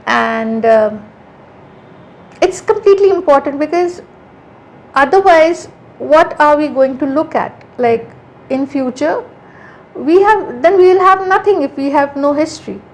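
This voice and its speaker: A woman with a notably high voice speaks earnestly in a relaxed interview. She is an art conservator from India who thinks aloud, circling back and rephrasing as she goes. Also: slight background noise, poor audio. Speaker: art conservator, podcast guest. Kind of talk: casual interview conversation.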